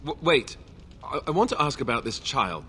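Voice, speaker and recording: Bright-voiced, wry young warrior. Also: male voice, mid-range voice, sing-song, moderate pace. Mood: reluctant, uneasy. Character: young male warrior, awkward, sardonic, reluctant heir turned rallying leader. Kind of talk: video game dialogue acting.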